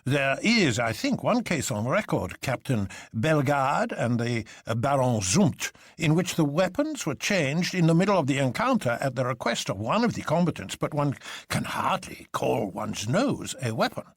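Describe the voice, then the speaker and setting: A man's voice: mid-range voice, very animated, normal speed, breathy edge, dry recording, clean studio sound. A man voicing a stiff, pedantic colonel in an audiobook, formal and a little hesitant. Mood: puzzled, pedantic. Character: stiff, pedantic old-fashioned male colonel, wistfully proper. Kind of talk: dramatised audiobook character voice.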